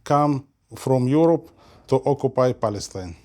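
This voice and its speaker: A male interviewer asking short questions with hesitant pauses and fillers. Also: mid-range voice, animated, a little mellow.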